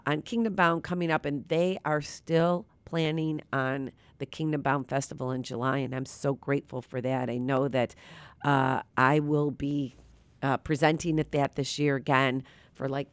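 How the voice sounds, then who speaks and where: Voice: mid-range voice, no echo. A radio talk show host with a nasal, tired voice, talking earnestly and loosely about faith with many fillers, live from a studio. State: tired from little sleep.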